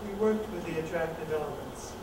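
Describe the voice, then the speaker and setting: A man reciting short spoken-word phrases slowly and deliberately over a live dance performance on a theater stage. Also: a little high, very noisy. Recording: echoey hall from far off.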